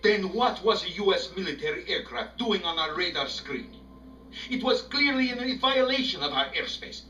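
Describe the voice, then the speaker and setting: An actor playing a weary, stern Soviet leader in a video game cutscene, formal and deliberate, coldly pressing his points. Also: high voice, lively intonation, pushed and bright. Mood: angry. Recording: echoey, distant game audio under music.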